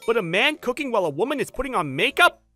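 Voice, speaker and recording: A woman's voice: fairly high pitch, very animated, quick pace, pushed and bright, soft background music, full character voice. A woman voicing a whiny, selfish husband. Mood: complaining. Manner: blunt, whiny and demanding. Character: selfish adult husband, dismissive of housework. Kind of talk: manga dub voice acting.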